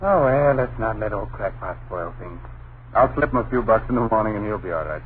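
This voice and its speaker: A man's voice: lowish voice, animated, fairly quick, close to an everyday voice. An actor with an American accent playing a brash, easygoing, slangy father in an old-time radio drama. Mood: amused, dismissive. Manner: slangy, breezy, dismissive. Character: brash, easygoing young father renting a country house. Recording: noisy, tinny vintage radio recording.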